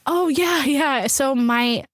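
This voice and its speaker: A young woman from the United States, whose was Urdu, telling a story as a guest in casual chat, lively and full of "like". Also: sits high, slow, no echo, studio quality. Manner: animated storytelling, peppered with "like".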